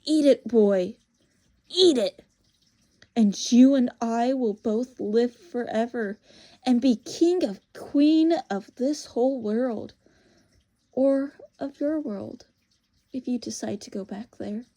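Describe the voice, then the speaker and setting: A woman's voice: a little high, slow, mellow. A woman voicing a proud, tempting evil witch in a storybook, commanding, scornful and grandly formal. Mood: scornful, urgent.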